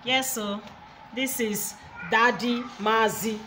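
A woman's voice: a little high, steady tone, slow, bright, forceful tone, distant mic. A woman in her thirties with a Nigerian accent, chatting playfully as she films her family vlog. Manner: chatty, playful, laughs often. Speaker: vlogger. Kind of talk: casual vlog chat. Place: outdoor yard and indoor kitchen. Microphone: handheld phone camera. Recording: noisy handheld phone audio.

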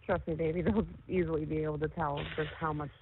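A woman's voice: sits low, steady tone, fast. A woman in an intimate, casual phone call, speaking reassuringly and repeating herself, on a noisy, tinny line. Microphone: telephone.

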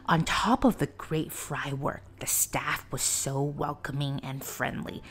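A woman narrating a food review in a soft, breathy whisper, calm and descriptive. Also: sits low, measured pace, soft background music. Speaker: food vlogger.

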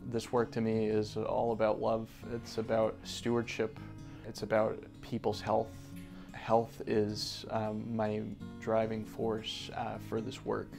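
A man's voice: lowish voice, monotone, slow pace, creaky, music in the background. A young man from Connecticut, an environmental justice organizer, answering interview questions earnestly with frequent restarts and fillers. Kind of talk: sit-down interview. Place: office gallery room.

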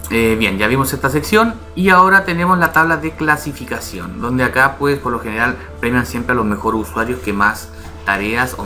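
Man, casual screen tutorial, bright tone, faint music underneath. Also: lowish voice. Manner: explains step by step, often asking "¿ya?" and "¿vale?". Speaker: YouTube channel host.

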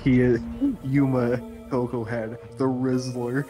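A man's voice: medium pitch, level tone, takes it slowly, rough recording. A man chatting casually as he reacts to a game in a group voice chat. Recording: voice chat over game music. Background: game music.

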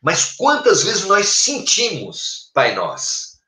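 A Brazilian man in his fifties gives a spiritual talk over a webcam, with a sweeping preacher's cadence. His voice is bright and rises and falls widely at an unhurried pace. The room around him is echoey. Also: sits high, huge pitch swings. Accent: Brazilian Portuguese. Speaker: spiritist speaker. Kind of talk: spiritual talk on a live stream. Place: home room, indoors. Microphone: webcam on a video call.

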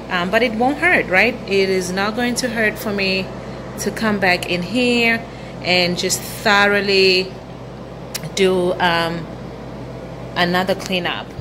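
A young woman chatting casually to viewers as a travel vlogger, unhurried and repeating her phrases. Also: medium pitch, background noise. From South Africa. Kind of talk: casual vlog chat to viewers. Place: indoors in a rental home.

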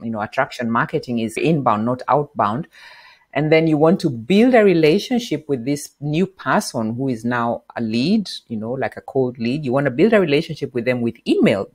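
A middle-aged woman from Kenya, a podcast guest, explaining marketing in an animated interview on a lapel mic in a home office. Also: rumbling low, husky. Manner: animated, gestures while explaining. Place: bright home office with bookshelf.

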